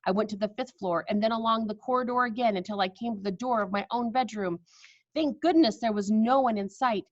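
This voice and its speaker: A woman reading a children's story aloud with expressive narration, on a slightly dull recording. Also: on the high side, a little fast, dry recording.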